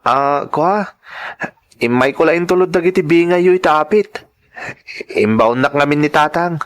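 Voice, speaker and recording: Man as young lovestruck farm lad, high pitch, Ilocano accent, radio drama, bashful, hesitant. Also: a little slow, close to an everyday voice. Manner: hesitant, protesting. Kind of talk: amateur radio-style drama.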